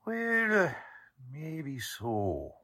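An actor playing a blunt, slangy, wisecracking police lieutenant in a 1940s style radio drama. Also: male voice, a little high, wildly swooping pitch, very slow, sharp tone, full character voice. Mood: skeptical.

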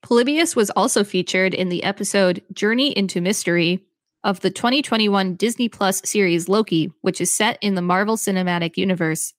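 A woman's voice: medium pitch, brisk, no room sound, clean studio sound. A woman co-hosting a podcast, narrating a scripted encyclopedia-style article aloud.

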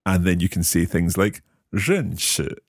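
A man with a low voice that moves up and down a lot hosts a language lesson. He breaks phrases into pieces and explains them step by step. The recording is dry and close. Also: expressive, husky, no room sound. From Scotland. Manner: friendly, explains step by step, slow careful repetition of words. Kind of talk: podcast language lesson, learner repeating phrases.

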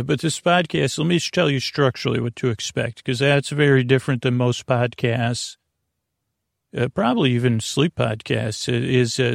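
Creaky-voiced man rambling drowsily for bedtime. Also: medium pitch, no echo, studio quality. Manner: meandering, halting, full of tangents; silly, goofy, lulling. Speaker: podcast host. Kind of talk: rambling bedtime sleep-podcast monologue.

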